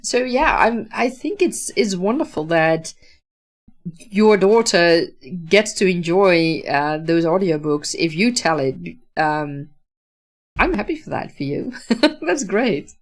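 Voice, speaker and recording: Slow-speaking Dutch woman. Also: lowish voice, expressive. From Netherlands. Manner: slow, thoughtful, many fillers and restarts. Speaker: livestream host, author. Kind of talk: casual livestream chat reading viewer comments.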